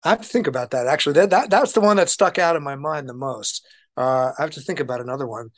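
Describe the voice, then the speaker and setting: A man with a slightly high voice speaks as a guest in a musical interview. He tells his stories conversationally, haltingly, often repeating a word or phrase while he works out the next one. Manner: halting, repeats words as he thinks aloud. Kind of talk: conversational anecdote-telling in an interview.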